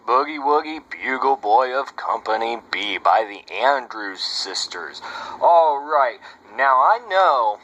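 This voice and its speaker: A man's voice: lowish voice, fairly bright tone, muffled recording. A man playing a brash, slangy 1930s swing-era radio host with a showy, chummy patter, on a noisy amateur recording. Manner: showy, chummy, slangy, asks rhetorical questions.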